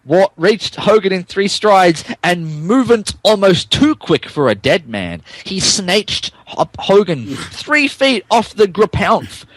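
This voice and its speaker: A man with a fairly high voice narrates fan fiction in a mock-dramatic style. He takes his time, giving straight-faced emphasis to garbled words. The sound is dry and close. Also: sing-song, slow, few pauses, fairly bright tone, no room sound, rough recording. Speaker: podcast narrator.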